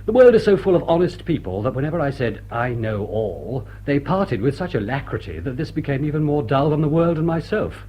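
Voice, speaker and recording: Urbane male con artist, acted, mid-pitched, swooping delivery, dry courtesy, noisy old soundtrack. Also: big pitch swings, fairly quick, hardly any pauses, warm tone, close to an everyday voice. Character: suave, affectionate gentleman crook, world-weary mentor. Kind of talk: vintage drawing-room drama acting.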